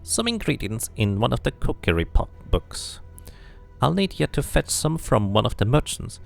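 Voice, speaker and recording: Man as old witch woman, fantasy game character, pitched-up voice, folksy archaic dialect, weary, knowing, swooping intonation. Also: big pitch swings, normal speed, gravelly, faint music behind. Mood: businesslike request.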